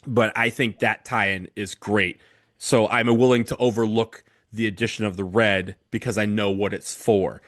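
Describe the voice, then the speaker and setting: A man's voice: lowish voice, steady tone, moderate pace, fairly bright tone, no room sound, clean studio sound. A man co-hosting a podcast, talking casually about football kits in a hesitant way with many fillers and restarts. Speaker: podcast co-host. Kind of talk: casual chat.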